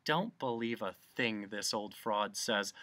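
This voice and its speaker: A narrator doing a prim, indignant bee, sharp-toned. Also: mid-range voice, very animated, fast, no room sound, studio quality. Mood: disdainful. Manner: sneering, prim, spells words out. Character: indignant, disdainful male spelling bee, prim and excitable.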